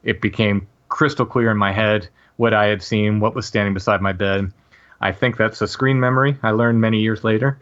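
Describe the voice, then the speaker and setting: A man in his late forties, a podcast guest, telling a personal story casually in a halting way with many restarts and fillers. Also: on the low side, fairly even intonation, a bit bright, dull audio.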